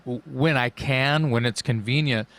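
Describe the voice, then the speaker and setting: A man's voice: mid-range voice, a little slow, a bit bright, no room sound. A man co-hosting a podcast in casual chat, with stop-start, joking delivery. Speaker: podcast co-host, singer.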